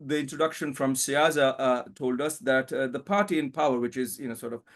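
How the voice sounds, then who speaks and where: A man's voice: mid-range voice, a little fast. Man giving a hedging talk. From Bangladesh. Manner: thoughtful, hedging with frequent fillers. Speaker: panel speaker, academic. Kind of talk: conference presentation.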